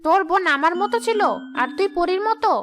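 A woman's voice: extremely high pitch, fairly quick, over music. A woman voicing a brash, greedy village ghost in a cartoon, with a blunt, grumbling, boastful manner. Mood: surprised, blunt. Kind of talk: animated cartoon dialogue.

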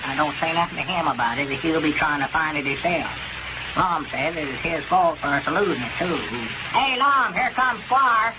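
Man playing a high-pitched muddled old country storekeeper. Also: lively intonation, normal speed, lightly acted. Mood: confiding, muddled. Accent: rural Southern US. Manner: rustic ungrammatical drawl, easily confused. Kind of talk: old-time radio comedy acting. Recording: muffled, noisy old radio recording.

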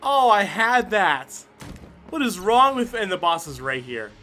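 A high-voiced man hosts a gameplay video with casual, excited commentary. His delivery is bright and swings up and down, full of exclamations and swearing aimed at himself and the game. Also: measured pace. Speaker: gaming video host. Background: game music.

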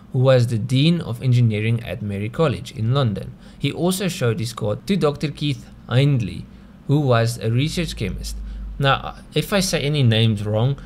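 A man's voice: mid-range voice, animated, normal speed, darkish tone, slight background noise. A man with a South African accent narrating a scripted explainer video in a conversational way, often posing rhetorical questions. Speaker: video host.

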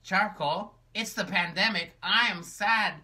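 A woman's voice: medium pitch, a little slow, bright, forceful tone. A woman voicing a sad, timid puppet girl in a children's puppet show, talking in a mopey, hesitant way.

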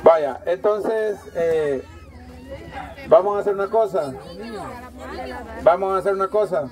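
A Salvadoran man with a slightly high voice announces informally to a crowd outdoors. He gives colloquial instructions and repeats himself as he organizes things, with plenty of people audible around him. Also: measured pace. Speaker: host handing out toys. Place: outdoors on a village dirt road. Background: crowd of children and adults.

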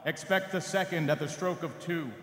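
A man's voice: fairly high pitch, fairly even intonation, moderate pace, a bit bright, close to an everyday voice. A man plays a solemn male ghost in a staged radio drama. His declamatory, mournful warnings come in a raised voice, echoing through a large hall. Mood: ominous warning. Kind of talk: staged radio play reading. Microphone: stand microphone. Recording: echoey theatre PA, long reverb.

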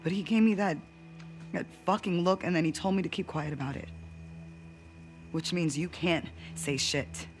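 A woman's voice: on the low side, normal speed, pauses often, faint music behind, lightly acted. An actress playing a wary young female medic in a game, speaking in a guarded, blunt way. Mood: frustrated, guarded. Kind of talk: naturalistic game cutscene acting.

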